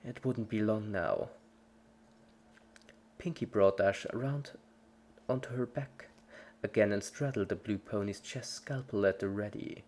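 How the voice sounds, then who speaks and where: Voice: medium pitch, measured pace, long pauses, darkish tone. A narrator giving a scripted dramatic reading of a story in the third person. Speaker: fan fiction narrator.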